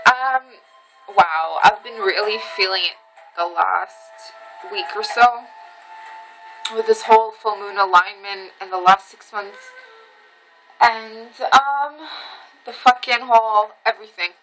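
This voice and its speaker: A woman's voice: medium pitch, slow pace, pauses often, over music, poor audio. A woman rambling casually to camera in a dim room at home, a bit tipsy from red wine and talking with loose, emotional wandering and many ums. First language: Armenian heritage speaker, reads Western Armenian. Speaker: vlogger.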